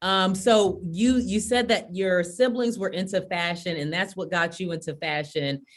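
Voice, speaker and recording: A woman's voice: lowish voice, unhurried. A woman hosting an interview, speaking to her guest and to an audience she calls students in a friendly way. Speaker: host. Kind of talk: interview host chat.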